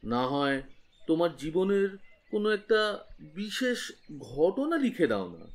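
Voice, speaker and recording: A man playing a pushy, flattering magazine editor, coaxing and insistent, in a comic audio drama. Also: fairly high pitch, slow, heavily acted.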